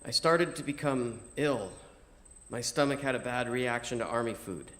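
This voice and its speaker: A man reading a first-person story as narrator in a measured, theatrical, declamatory manner. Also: medium pitch, fairly bright tone. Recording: music behind the voice. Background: music.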